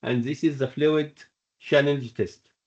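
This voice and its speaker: A man's voice: a little high, steady tone, unhurried. Male medical tutor on a dull online call. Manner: repeats points, checks understanding often. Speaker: medical lecturer and exam coach. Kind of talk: interactive online teaching with questions and explanations.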